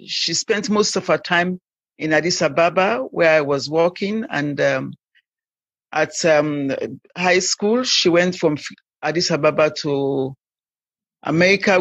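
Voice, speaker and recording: Dull lo-fi audio, dry, woman in her sixties, halting, hesitant, personal storytelling. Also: deep voice, fairly bright tone, no echo. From Sierra Leone. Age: 60s. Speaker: podcast guest.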